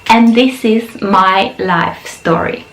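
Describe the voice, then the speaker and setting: A woman's voice: mid-range voice, slow, a bit bright, reverberant. A woman in her thirties, Romanian-born with Romanian as her first language, hosting her own channel and telling her life story in a frank, chatty way. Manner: frank, chatty, with many fillers and laughs. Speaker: yoga channel host. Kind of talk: personal storytelling to camera.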